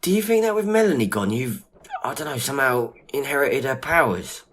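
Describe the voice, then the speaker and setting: A man playing a loyal gentleman friend in a period audio drama, talking in a matey, colloquial way. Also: medium pitch, animated, brisk, lightly acted. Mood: astonished. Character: loyal, grieving gentleman friend, informal and companionable. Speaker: voice actor. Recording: noisy amateur audio drama recording.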